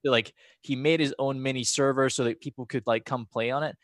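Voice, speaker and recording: A man with a mixed Scottish and American accent speaks at a middle pitch in a dry, close recording. He talks casually as a podcast guest, rambling conversationally through his stories. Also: steady tone, a little fast, a bit bright, no echo. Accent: half Scottish, half American. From Scotland. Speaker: podcast guest, former pro gamer. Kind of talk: casual chat, personal storytelling.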